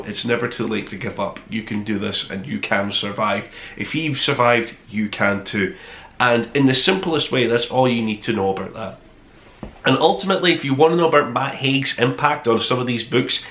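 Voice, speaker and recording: Male review-show host, bright forceful voice, gushing and emphatic, recorded in a roomy space. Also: mid-range voice. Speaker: host of a book review podcast. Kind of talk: casual review to camera, top five countdown. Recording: roomy, echoey indoor sound.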